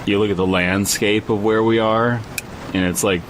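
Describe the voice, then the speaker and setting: A man with a deep voice talks casually as a podcast co-host. He rambles and halts with a wry touch, and a clear low rumbling noise runs through the recording. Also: fairly even intonation, normal speed. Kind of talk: casual bantering talk and story reading.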